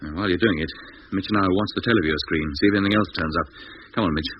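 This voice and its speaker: A man's voice: deep voice, very fast, close to an everyday voice. A man acting a level-headed spaceship commander in a 1950s radio drama, decisive, clipped and authoritative. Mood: firm. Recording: dull, noisy 1950s radio recording.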